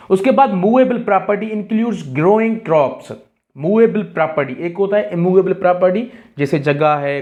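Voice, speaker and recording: A man with a Pakistani accent and a fairly high-pitched voice teaches at a very slow pace. He reads each definition out, then explains it like a tutor, repeating the key phrases. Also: a little mellow. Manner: mixes Urdu-Hindi with English, didactic, repeats key phrases. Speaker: law exam tutor. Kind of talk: explanatory lesson, reading definitions and explaining them.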